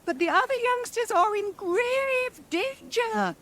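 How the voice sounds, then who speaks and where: Storybook role: an old sightless hag, high-pitched, imperious and squabbling, declaiming in archaic phrases. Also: female voice, lively intonation, takes it slowly, fairly bright tone, heavily acted. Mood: urgent. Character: ancient blind witch hag, shrill, bossy and quarrelsome.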